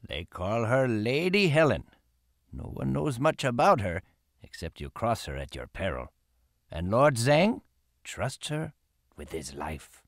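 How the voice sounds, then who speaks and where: An actor playing a roguish, sly pirate first mate in a video game, talking in a confiding, easygoing way. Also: male voice, medium pitch, big pitch swings, a little slow, no room sound, studio quality. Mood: wary, confiding. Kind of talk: video game cutscene acting.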